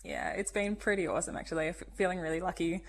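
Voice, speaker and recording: A woman's voice: on the low side, very animated, very fast, slight background noise. A young Australian woman speaking casually as a bride being interviewed. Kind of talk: casual chat.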